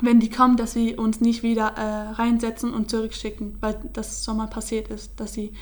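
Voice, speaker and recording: Young woman, interviewee, halting and even-toned. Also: a little high. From Syria. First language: Kurdish. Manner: hesitant, many fillers and restarts. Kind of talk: casual personal account.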